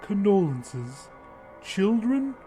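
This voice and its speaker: A man's voice: sits high, big pitch swings, very slow, warm tone, heavily acted. A man voicing a weird, frog-like little man who recruits for a secret party, speaking formally and probingly in an audiobook story, with music behind him. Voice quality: weird, odd voice.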